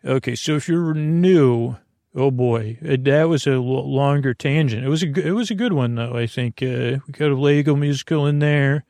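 Meandering male sleep-podcast host, gravelly. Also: dark tone, dry recording. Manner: meandering, self-interrupting, tangents; low-key, unhurried delivery. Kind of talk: rambling bedtime monologue.

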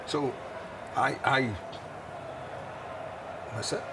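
A man's voice: mid-range voice, steady tone, drawn-out words, vocal fry. A man in his sixties, an interview guest, speaking candidly and earnestly, often repeating words as he gathers his thoughts. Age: 60s. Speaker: interview guest, former broadcaster. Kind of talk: candid storytelling. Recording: outdoor location, audible city noise.

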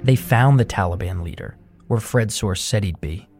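Male podcast host, lower voice, measured scripted narration. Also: soft background music. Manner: measured, even documentary narration.

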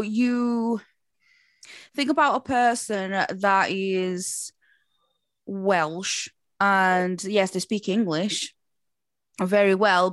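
A woman from Portugal, a native Portuguese speaker, chatting casually in English and telling stories in a chatty, animated way. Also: mid-range voice, no room sound. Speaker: performer who does shows. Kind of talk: casual chat.

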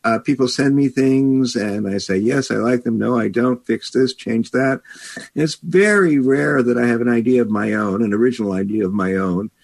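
A man speaking as an editor hosting a book talk, in a measured, articulate and slightly hesitant conversational way. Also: medium pitch, gravelly, a little mellow, no echo.

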